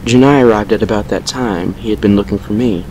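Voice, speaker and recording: A man playing a brooding vampire who recounts a painful past with measured regret in an audio drama. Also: lowish voice, dark tone, a little hiss or hum, close to an everyday voice, rough recording. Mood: subdued, regretful. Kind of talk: fan fiction audio drama monologue.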